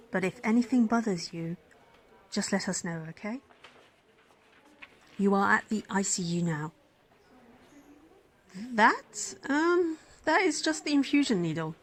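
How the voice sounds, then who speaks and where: Give the part to a woman acting as a caring female ER doctor in an intimate ASMR roleplay. She speaks in a tender, soothing way at a middling pitch. She is smitten with the patient and a little flustered. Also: natural voice. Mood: gentle, attentive. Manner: tender, soothing, flustered, dropping into breathy whispers. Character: caring, smitten female emergency physician tending a crash patient. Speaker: roleplay voice performer.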